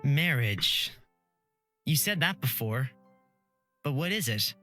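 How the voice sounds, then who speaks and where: A bright, lively young man, as a woman puts him on, naive and curious about the world. He talks with puzzled sincerity, like a sheltered villager in a visual novel, and his pitch moves around a lot. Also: slow, soft background music, heavily acted. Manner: puzzled, earnest questioning. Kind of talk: visual novel character read aloud.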